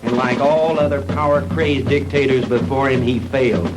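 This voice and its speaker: An actor playing an earnest, indignant investigator with an American accent, speaking in stiff, measured 1960s B-movie style. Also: male voice, medium pitch, fairly even intonation, over loud music, close to an everyday voice. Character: earnest, indignant male investigator confronting a villain. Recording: noisy old 1960s film soundtrack.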